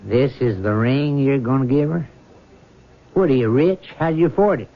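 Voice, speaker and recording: An actor playing a middle-aged veteran army sergeant in a radio drama, blunt and teasing with a wry manner. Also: male voice, medium pitch, normal speed, close to an everyday voice. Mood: amused, curious. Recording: muffled old radio broadcast.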